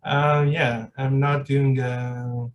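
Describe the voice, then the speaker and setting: A man's voice: mid-range voice, little pitch movement, extremely slow, recorded from a distance, highs cut off. A man hosting a podcast in casual, reflective conversation, hesitant and searching for his words. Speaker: podcast host.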